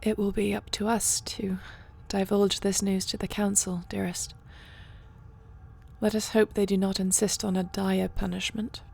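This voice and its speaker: A woman playing a solemn, devoted dragon queen in a fantasy audio roleplay, speaking formally and earnestly with a husky, breathy voice. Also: medium pitch, little pitch movement, darkish tone. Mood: subdued concern. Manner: earnest, formal, measured delivery.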